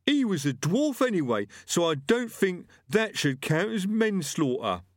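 A man's voice: high-pitched, big pitch swings, measured pace, no room sound, studio quality, pitched above the performer's own voice. A man voicing the ghost of a thuggish male butcher who sounds aggrieved and self-pitying and stumbles over long words.

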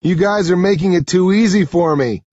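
A man voicing a tough, protective teenage boy in an audiobook, with a forceful, commanding delivery. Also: on the low side, animated, slow pace, slightly rough, no echo, lo-fi sound, heavily acted. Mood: commanding, angry. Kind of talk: dramatic first-person audiobook dialogue.

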